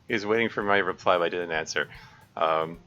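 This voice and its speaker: A man's voice: low-pitched, brisk, bright, forceful tone. A man reading a visual novel aloud on a live stream, often stopping to correct himself and laugh. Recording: dull lo-fi stream audio, faint music.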